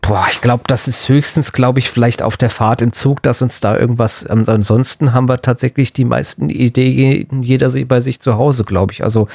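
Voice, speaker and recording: A man's voice: medium pitch, fairly even intonation, normal speed, vocal fry, few pauses, a little mellow, dry recording. Casual podcast man, dry close sound. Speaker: podcast co-host. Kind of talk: casual chat.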